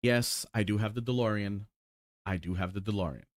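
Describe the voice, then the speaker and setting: Excited rambling man in his forties. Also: lowish voice, brisk, no echo, studio quality. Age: 40s. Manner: rambling, excited, swears casually, lots of ums. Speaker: sim racing streamer. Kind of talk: casual chat while showing cars.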